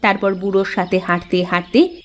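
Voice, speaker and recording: A woman's voice: mid-range voice, fairly even intonation, normal speed. A woman narrator reading a scripted cartoon story.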